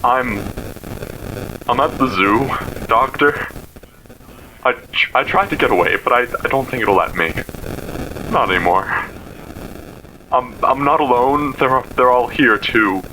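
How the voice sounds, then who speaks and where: An actor playing a terrified, tearful man, stammering and halting as he pleads in a payphone voicemail for an audio horror drama. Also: medium pitch, expressive. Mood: frightened, weary. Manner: halting, stammering, repeating himself. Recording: noisy payphone voicemail with background ambience.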